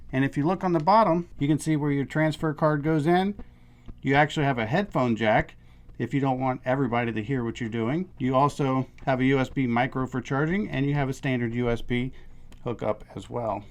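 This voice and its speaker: A man's voice: mid-range voice, a little fast. A man in his thirties, casually demonstrating a product and explaining it step by step in an upbeat way. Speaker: product reviewer.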